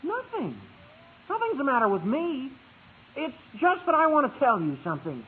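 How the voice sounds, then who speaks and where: Squeaky, gawky teenage boy. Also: wildly swooping pitch, normal speed, exaggerated voice. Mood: bewildered, earnest. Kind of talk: 1950s radio sitcom acting. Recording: narrow, tinny old radio recording.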